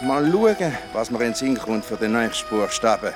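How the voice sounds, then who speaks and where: A man's voice: medium pitch, very animated, normal speed, music in the background, lightly acted. A man with a Swiss German accent playing a greedy young monastery pupil who teaches in a savouring, schoolmasterly way in a children's audio drama. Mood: smug, demanding. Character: greedy, smug young male monastery pupil giving lessons.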